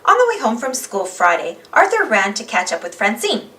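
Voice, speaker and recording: A woman reading a children's story aloud as an animated narrator. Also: fairly high pitch, normal speed, recorded from a distance. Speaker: storybook reader.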